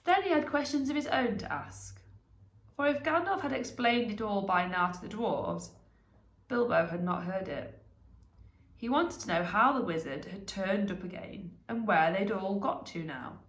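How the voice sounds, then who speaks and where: A woman narrating a storybook aloud in an expressive reading style. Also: medium pitch, moderate pace, roomy sound.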